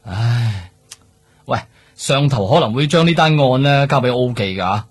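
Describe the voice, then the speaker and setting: A man's voice: medium pitch, measured pace, husky. An actor playing a weary, grumbling male police superior in a radio drama, speaking Hong Kong Cantonese with frequent sighs. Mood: gruff. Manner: sighing, grumbling, animated Cantonese officer.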